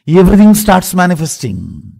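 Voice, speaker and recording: A man with an Indian accent giving a spiritual discourse as a guru, speaking emphatically in halting, short, repeated phrases. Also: high voice, sing-song, gravelly, mellow. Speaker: spiritual guru teaching a course.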